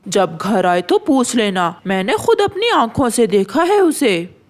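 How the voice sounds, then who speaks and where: A woman's voice: a little high, big pitch swings, measured pace. A woman voicing a stern adult male pigeon shopkeeper in a children's cartoon, curt and accusing.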